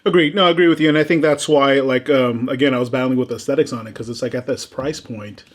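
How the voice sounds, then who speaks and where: A man's voice: mid-range voice, fairly even intonation, fast talker. A man co-hosting a casual spirits review podcast, joking and laughing between his points. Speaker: podcast co-host.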